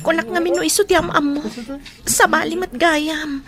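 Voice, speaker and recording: A woman's voice: extremely high pitch, fairly even intonation, moderate pace, a bit bright. An actress playing an emotional, longing mother in an Ilocano radio drama. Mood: shaken, longing.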